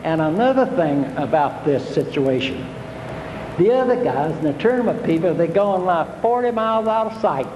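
A man's voice: fairly high pitch, muffled recording, noisy background. Folksy older man in an echoey hall. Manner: homespun, repetitive, plainspoken. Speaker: fishing instructor. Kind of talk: folksy seminar talk.

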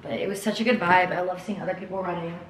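A woman's voice: lowish voice, fairly even intonation, fast talker, reverberant, heavy background noise. Chatty young woman vlogging at home. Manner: chatty, upbeat, heavy use of "like" and "y'all". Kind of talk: casual vlog chat to camera. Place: home kitchen and apartment balcony.

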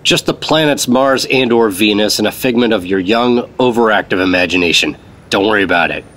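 An actor playing a world-weary, hard-boiled government special agent from the 1950s, in clipped noir radio drama style. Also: male voice, on the low side, brisk, close to an everyday voice. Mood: dry, dismissive. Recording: loud music bed under voice.

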